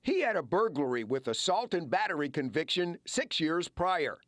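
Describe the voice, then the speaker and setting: Male American investigative reporter, higher-pitched, lively scripted news narration, dry close sound. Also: normal speed, no room sound.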